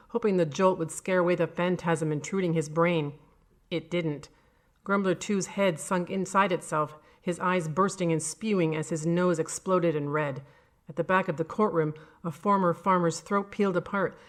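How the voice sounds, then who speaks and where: A woman's voice: on the low side, fairly even intonation, moderate pace. A woman reading a scripted horror story as narrator. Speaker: audio fiction narrator.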